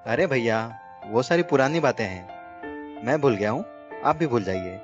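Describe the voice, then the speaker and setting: A man's voice: mid-range voice, animated, normal speed, natural voice. A man playing a bitter blind young man. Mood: polite. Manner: earnest, hurt, reproachful. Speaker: actor in a radio play. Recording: muffled recording with music behind.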